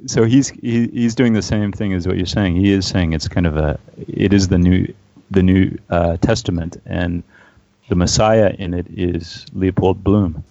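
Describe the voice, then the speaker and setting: A man's voice: sits low, steady tone, a little slow, warm tone, no echo, lo-fi sound. A man in a book club discussion, talking hesitantly with many fillers. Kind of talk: casual discussion.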